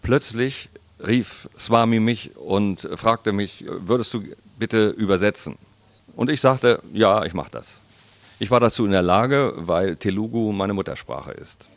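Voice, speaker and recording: Very deep, dry-voiced male interpreter. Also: animated, creaky, no room sound. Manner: slow, deliberate, careful phrasing. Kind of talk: voice-over interpretation into German.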